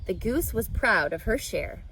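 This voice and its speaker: Expressive woman reading a storybook aloud. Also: mid-range voice, a little slow, a little hiss or hum, low-quality recording. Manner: expressive, addresses listener. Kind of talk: reading a children's book aloud.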